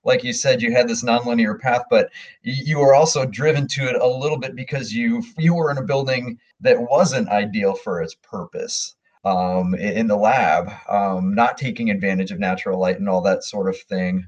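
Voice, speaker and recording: A man hosting an interview podcast, asking questions in a friendly, halting way with frequent fillers. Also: lowish voice, fairly even intonation, a little mellow, muffled recording. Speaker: podcast host.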